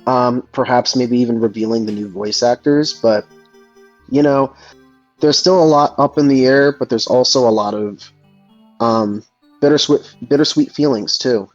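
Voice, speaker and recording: A man co-hosting a casual discussion in a halting, hesitant way, on a lo-fi mic with music behind. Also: mid-range voice, fairly even intonation, no echo. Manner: hesitant, halting delivery with frequent ums and repeats. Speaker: co-host. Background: background music.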